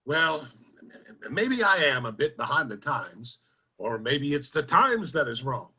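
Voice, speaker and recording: A man's voice: mid-range voice, sing-song, unhurried, pushed and bright, husky, full character voice. A man playing a folksy, bewildered nineteenth-century general store owner in a radio drama, with plain-spoken country talk. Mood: bewildered, amazed. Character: old-time West Virginia general store proprietor from the 1800s, folksy, bewildered. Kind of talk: old-time radio drama acting.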